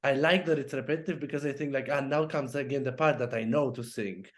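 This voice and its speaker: Man speaking haltingly on a panel. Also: medium pitch. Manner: halting, repeats words. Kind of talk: casual panel chat.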